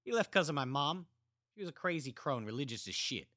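A soldier confesses dark deeds in a flat, matter-of-fact way that turns eerie, his voice raised above the storyteller's own low register, as a male narrator puts him on. The sound is bright and crisp. The room is dry and close. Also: a little fast, dry recording. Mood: offhand. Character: adult man, soldier, calm unsettling killer.

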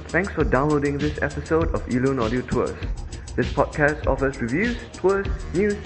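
A man with a Singaporean English accent, narrating a scripted audio tour as a podcast host, in an instructive tone with the odd filler. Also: medium pitch. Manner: instructive, lively, with occasional fillers.

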